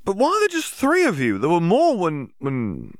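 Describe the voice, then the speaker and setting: A man's voice: high voice, huge pitch swings, normal speed, no room sound, studio quality, voice pushed up, exaggerated voice. A man voicing an agitated older teenage student who protests shrilly and stammers. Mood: protesting.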